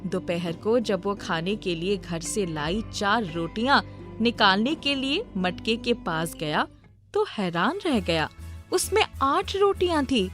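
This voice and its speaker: A woman's voice: medium pitch, animated, slow pace, fairly bright tone, soft background music. A woman narrating a scripted storybook tale.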